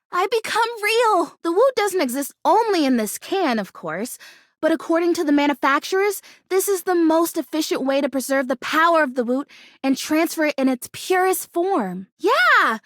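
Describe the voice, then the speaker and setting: A woman voicing a perky, earnest young female houseplant who longs to be real, bubbly and chatty, in an animated video game style. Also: very high voice, dry recording, clean studio sound, heavily acted. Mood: enthusiastic. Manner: bubbly, gushing, naively optimistic, chatty.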